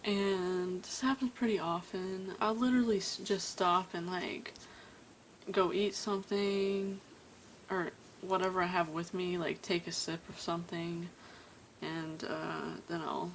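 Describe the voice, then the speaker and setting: A woman's voice: fairly low pitch, little pitch movement, unhurried, slight background noise, poor audio. A woman vlogging at home to her camera, stuttering and stopping mid-sentence to think. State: tired. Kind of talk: casual talk to camera. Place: at home indoors.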